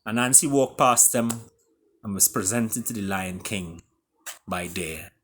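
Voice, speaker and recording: A man with a Caribbean accent reading his own story aloud in a theatrical way, with deliberate pauses. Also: fairly low pitch, fairly bright tone, a little hiss or hum. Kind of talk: dramatic storytelling.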